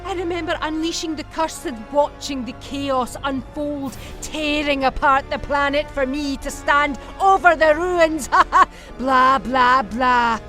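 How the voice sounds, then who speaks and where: A woman's voice: sits high, slow pace, few pauses, sharp tone, soft background music, heavily acted. A woman playing a gleefully unhinged, vengeful Time Lord villainess, theatrical and flippant with turns of menace, in a scripted fan audio drama monologue. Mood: unhinged, scornful.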